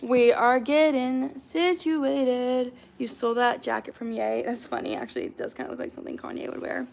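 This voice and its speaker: A woman in her twenties with a raspy, slightly hoarse voice, chatting casually on a livestream, filling her talk with "like". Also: a little high, expressive, moderate pace, slight background noise. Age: 20s. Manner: chatty, lots of fillers like "like".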